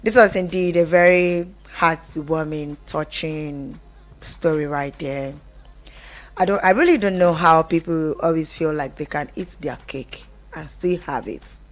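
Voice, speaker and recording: Female radio presenter, Nigerian accent, voice a touch low, conversational storyteller who throws out rhetorical questions. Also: measured pace. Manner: expressive, rhetorical questions, some Pidgin phrases. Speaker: radio show host.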